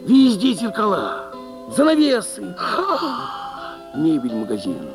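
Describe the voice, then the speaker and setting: Gravelly storyteller, unhurried, folksy dialect, theatrical, music behind. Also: mid-range voice, huge pitch swings, poor audio. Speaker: narrator. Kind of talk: theatrical folk-tale narration.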